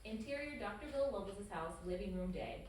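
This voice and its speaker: A woman's voice: medium pitch, expressive, fairly quick, a little mellow. A woman reading scripted stage directions as the narrator of a table read. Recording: echoey room, distant microphone, faint noise.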